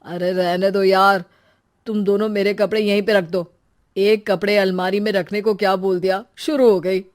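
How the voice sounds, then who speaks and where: A woman's voice: mid-range voice, level tone, normal speed, close to an everyday voice. A woman voicing a busy, irritable young husband, offhand in manner, in a family story. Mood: irritated.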